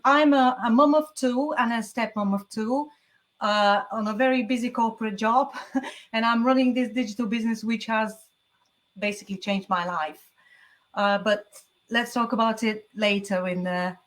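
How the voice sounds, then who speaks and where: A woman's voice: on the high side, a bit bright. Woman, from the UK, forties, interview guest, chatty, laughs often, stammers a little. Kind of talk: casual interview chat.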